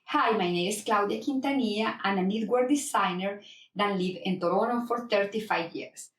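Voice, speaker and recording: A woman's voice: medium pitch, normal speed. A woman from El Salvador, a knitwear designer presenting her work in simple, phrasing. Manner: non-native phrasing, simple direct sentences. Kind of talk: casual spoken presentation. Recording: roomy, from a distance.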